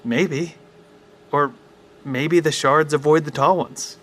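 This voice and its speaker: An actor playing a curious, persuasive survivor in an audio drama, speaking earnestly and urgently. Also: male voice, on the high side, fairly even intonation, slow pace, fairly bright tone.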